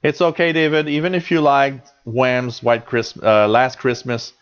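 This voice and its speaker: A man's voice: mid-range voice, little pitch movement, measured pace, smooth. A chatty Canadian man in his forties, his voice sitting in a middle range. He rambles along with plenty of ums and restarts as he hosts a live show. The audio is a bit dull and lo-fi. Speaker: radio show host reading chat. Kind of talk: casual live chat.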